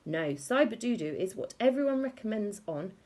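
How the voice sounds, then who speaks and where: A woman plays a smug little schoolboy of eight in a children's storybook. He talks down to others in a knowing, condescending way, with a husky edge to the voice. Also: medium pitch, lively intonation, moderate pace, darkish tone, pitched above the performer's own voice, natural voice.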